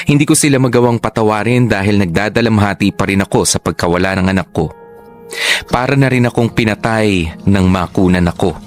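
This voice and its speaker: A man with a Filipino accent giving sombre scripted narration for a radio drama. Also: on the low side. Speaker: narrator reading a letter. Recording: faint music behind.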